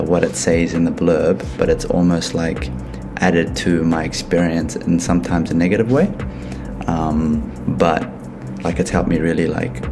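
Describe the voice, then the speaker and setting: A young man with a very low voice chats on a video call, loose and rambling, full of "like" and "um". Music plays clearly underneath him. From New Zealand. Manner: relaxed, rambling with many fillers. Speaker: program participant. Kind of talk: casual video call chat.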